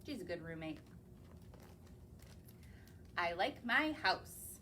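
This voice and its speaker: A woman's voice: lowish voice, expressive, takes it slowly, bright, forceful tone, a little hiss or hum. A young woman, a children's librarian, reading picture books aloud in a library in a sing-song way and asking the children questions. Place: public library.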